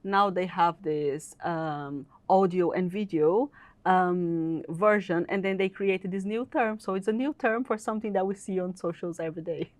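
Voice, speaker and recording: A woman in her thirties who grew up in Brazil talks about her agency in a relaxed interview. Her voice sits fairly low, and the sound is dry and close. She is chatty, laughs easily, and often restarts her sentences. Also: moderate pace, no echo. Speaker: agency founder. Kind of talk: casual interview chat. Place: content creation studio.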